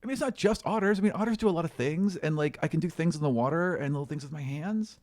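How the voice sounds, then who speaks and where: A man's voice: a little high, fast, darkish tone, voice pushed up, close to an everyday voice. A man voicing an eager, awkward young boy in a tabletop game, with a nervous, stammering, gushing way of talking. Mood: earnest, flustered.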